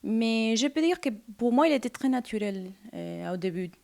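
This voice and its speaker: A woman from Argentina, a singer interviewed on the radio, who searches for her words with many pauses and fillers. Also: a little high, expressive, vocal fry, mellow.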